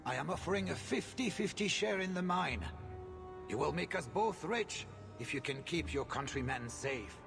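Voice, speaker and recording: An actor playing a shrewd, smooth-talking mine owner in video game cutscene dialogue. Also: male voice, fairly high pitch, lively intonation, moderate pace, music in the background. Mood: businesslike. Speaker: game character.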